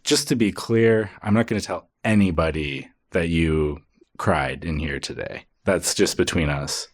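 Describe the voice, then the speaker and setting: Smug high school guidance counselor, gossipy and confiding, deep register male comedy performer. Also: a little slow. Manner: confiding, self-satisfied.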